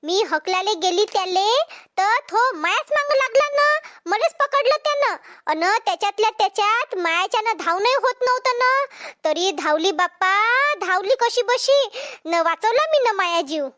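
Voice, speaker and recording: Super high squeaky village farm wife, vidarbha accent, flustered n naggy, cartoon comedy. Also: female voice, expressive, moderate pace, fairly bright tone. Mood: complaining. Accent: Vidarbha Marathi. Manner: dramatic, complaining, repeats herself.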